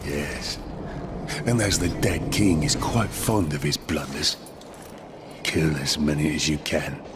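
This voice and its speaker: A low, measured otherworldly guide. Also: male voice, background noise. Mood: grave. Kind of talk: game cutscene voice acting.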